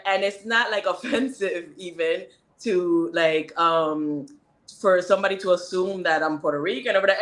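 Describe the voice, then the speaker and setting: A woman's voice: medium pitch, a bit bright, echoey room. A woman with a Miami accent talking on a panel in a loose, rambling way, full of fillers and asides. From United States. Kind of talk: casual panel discussion. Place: indoor panel event.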